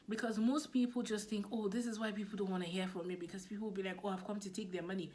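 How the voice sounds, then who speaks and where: A woman's voice: mid-range voice, slight background noise. A woman with a Nigerian accent giving a motivational business talk to camera, emphatic and repeating her key points. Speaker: business coach.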